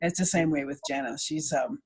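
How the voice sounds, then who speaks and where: A woman's voice: sits low, fairly quick, slightly rough. A woman, a voice actor, explaining her craft conversationally in a casual panel chat. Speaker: volunteer audio drama voice actor.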